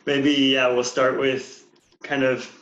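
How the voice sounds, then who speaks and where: A man in his forties, a counselor, telling his life story as a guest in a relaxed, meandering way with many fillers. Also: mid-range voice, takes it slowly. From United States. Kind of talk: casual interview. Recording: dull, echoey room sound.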